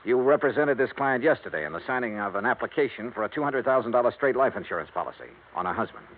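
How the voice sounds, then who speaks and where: An actor playing a blunt, hardboiled insurance investigator in a 1950s radio drama, asking brisk, dry questions. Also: male voice, mid-range voice, animated, lightly acted. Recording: tinny lo-fi 1950s radio broadcast with faint hiss.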